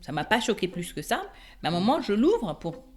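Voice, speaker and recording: A middle-aged woman from the Democratic Republic of the Congo telling her own story conversationally, animated and often searching for her words. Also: mid-range voice, a little fast, soft background music. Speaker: interview guest, independent export consultant. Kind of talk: personal testimony, conversational storytelling.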